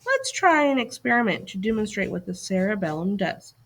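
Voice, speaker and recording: A woman's voice: medium pitch, sing-song, fairly quick, fairly bright tone. A woman reading a scripted educational lesson aloud to children as a teacher, putting questions and directions to young listeners.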